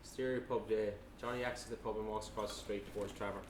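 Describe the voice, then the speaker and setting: A man narrates a screenplay at a table read in a plain, matter-of-fact way. His voice is lowish and very even in pitch. It is picked up from far off in a noisy, echoey room. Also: fast talker. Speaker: narrator reading stage directions at a table read. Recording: echoey room, distant mic, noisy background.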